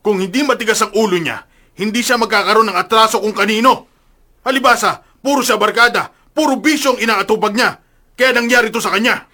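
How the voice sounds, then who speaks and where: A man's voice: sits high, brisk, sharp tone. A man playing a stern, resentful middle-aged father in a radio drama. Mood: angry, scornful.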